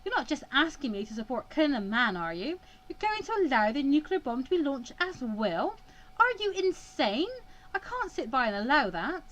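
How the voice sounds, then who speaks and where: A woman voicing a distraught, defiant young female student in halting, emphatic dialogue from a visual novel. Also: sits high, very animated, fairly bright tone, pitched above the performer's own voice, poor audio. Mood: outraged, disbelieving.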